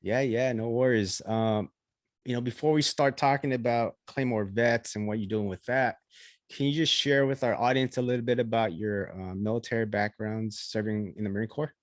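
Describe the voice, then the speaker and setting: A man's voice: mid-range voice, normal speed, no room sound, studio quality. A man hosting a podcast interview, asking questions casually with lots of fillers. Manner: relaxed, friendly filler-heavy questions. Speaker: podcast host.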